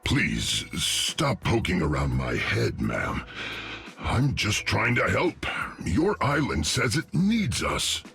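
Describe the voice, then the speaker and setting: A big, kindly tree creature in a video game. The voice is very low and gravelly, with lively swings in pitch and an unhurried pace. He sounds earnest and chatty, with music underneath. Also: heavily acted. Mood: earnest, polite. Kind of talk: video game character dialogue.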